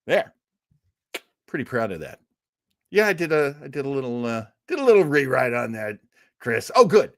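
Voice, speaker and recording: A man hosts a show and wraps up the episode in rambling, friendly chat, tossing in self-mocking asides. His pitch moves a great deal from phrase to phrase. The recording is dry and close, with no room sound. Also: very animated, moderate pace, pauses often, no echo. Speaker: podcast host. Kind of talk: casual chat wrapping up an episode.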